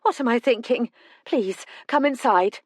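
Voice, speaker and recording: A woman voicing an older mother who is frightened and tearful, talking in a tremulous, rushed outpouring. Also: a little high, no echo, clean studio sound, pitched above the performer's own voice. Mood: distraught.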